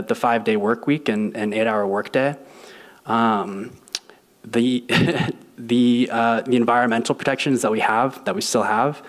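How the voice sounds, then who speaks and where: A man in his twenties sharing a personal story at a church lectern, thoughtful and halting with frequent ums and restarts. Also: lowish voice. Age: 20s. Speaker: guest speaker. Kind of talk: personal testimony at a service. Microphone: lectern mic.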